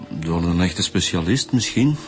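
An actor playing an easygoing junior homicide detective with a Flemish accent in a radio crime drama. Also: male voice, sits low, expressive, a little slow, loud music behind the voice.

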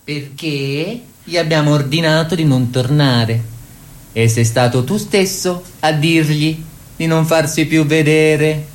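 Doctrinaire, declamatory party ideologue. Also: male voice, on the high side, steady tone, slow pace. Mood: firm. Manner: declamatory, didactic. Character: doctrinaire male party ideologue, measured and calculating. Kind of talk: Italian radio theatre, political oratory.

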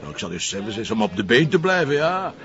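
An actor playing a dogged, wry Flemish police inspector, talking bluntly and colloquially with hesitant fillers in a radio drama. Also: male voice, mid-range voice, very animated, fast, fairly bright tone, lo-fi sound.

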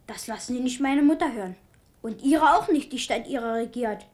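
A woman's voice: fairly high pitch, brisk, a little mellow, natural voice. A performer, heard as female, playing a bold, cheeky, forthright young prince in a German radio drama. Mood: cheeky, defiant.

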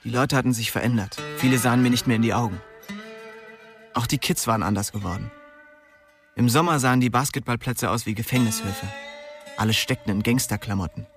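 A man's voice: medium pitch, brisk, pauses often, fairly bright tone, soft background music, lightly acted. An actor playing a weary, bitter young man who narrates his own crime story tersely in a radio drama. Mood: brooding, resigned. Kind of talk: scripted first-person radio drama narration.

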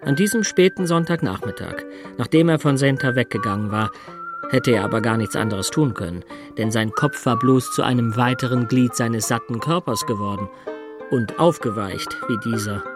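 A male actor plays a sober Berlin systems consultant in a radio drama. He has a mid-pitched voice and talks in a matter-of-fact, understated way, with faint music behind him. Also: lively intonation, moderate pace, natural voice. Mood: reflective. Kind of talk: German radio drama dialogue and inner narration.

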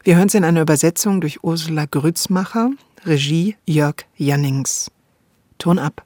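A woman's voice: low voice, normal speed, a little mellow, no room sound. Female radio host, emphatic literary talk, thinking aloud, close dry studio. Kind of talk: literary discussion.

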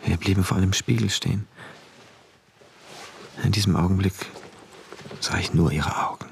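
An actor playing a rigid, troubled man from a regimented future, narrating a literary radio play in a deliberate, brooding, precise way. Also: deep voice, steady tone, creaky, a little hiss or hum. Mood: brooding, tense. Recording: music behind the voice.

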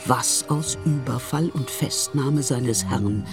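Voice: mid-range voice, slow. A storyteller reading scripted literary narration for a radio drama, in the past tense. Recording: music behind the voice.

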